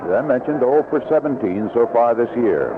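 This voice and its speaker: A thin, narrow-band vintage radio recording with clear noise carries an American man announcing baseball. He talks at a medium pitch in a conversational 1970s play-by-play style. His delivery is packed with figures and records. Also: lively intonation, a little fast. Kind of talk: 1970s radio sports commentary. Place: outdoor ballpark broadcast.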